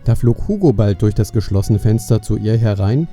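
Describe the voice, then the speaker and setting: A man's voice: fairly low pitch, animated, moderate pace, mellow, faint music behind. A man narrating a scripted Christmas story for children as its storyteller.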